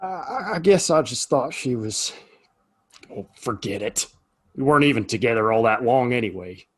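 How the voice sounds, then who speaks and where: A man playing a weary Old West saloon keeper in an audio play, husky, hesitant and folksy. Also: medium pitch, lively intonation, normal speed. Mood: dejected. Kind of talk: Western audio play acting.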